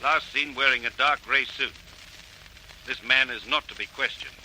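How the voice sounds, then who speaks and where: An actor playing a formal, clipped police radio dispatcher reading out a bulletin in a drama. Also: male voice, medium pitch, fairly even intonation, moderate pace, pushed and bright, slight background noise, rough recording. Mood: official, deliberate.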